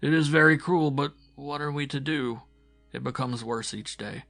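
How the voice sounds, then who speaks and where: A man voicing a big, overgrown young soldier who brings bad news, apologetic and uneasy, speaking slowly and haltingly. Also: on the high side, gravelly, fairly bright tone, dry recording, soft background music, voice pushed up. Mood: urgent.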